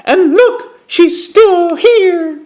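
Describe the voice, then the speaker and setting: A storyteller voicing a tiny, excitable little baby bear with a squeaky voice in a children's storybook. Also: extremely slow, full character voice. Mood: upset, squealing.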